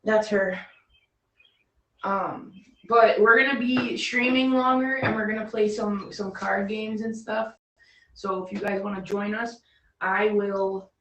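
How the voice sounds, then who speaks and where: A woman's voice: medium pitch, steady tone, unhurried, fairly bright tone. A woman in her late twenties hosting a livestream, chatting casually with her best friend and talking to viewers. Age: 20s. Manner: chatty, laughs easily, addresses the viewers. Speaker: livestream host. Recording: roomy, distant mic with faint background noise.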